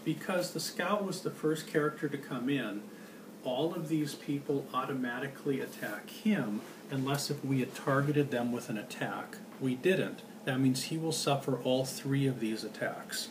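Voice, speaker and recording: A man's voice: mid-range voice, moderate pace. A middle-aged man explaining card game rules while playing, recorded across a table in a small room. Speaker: board game demonstrator and player. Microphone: handheld camera across the table. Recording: noisy amateur room recording.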